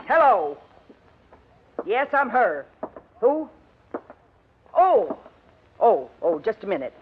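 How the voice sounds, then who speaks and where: An actress playing a flustered, defensive wife in 1950s radio drama style, protesting in an agitated, halting way. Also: female voice, mid-range voice, very animated. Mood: anxious. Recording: dull, noisy vintage radio recording.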